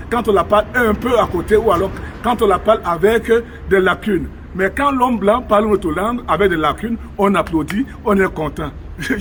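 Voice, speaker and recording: A man's voice: high-pitched, fairly bright tone, a little hiss or hum. A man from Cameroon, speaking emphatically to viewers from an outdoor square, with many rhetorical questions. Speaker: vlogger. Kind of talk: impassioned on-location address to viewers.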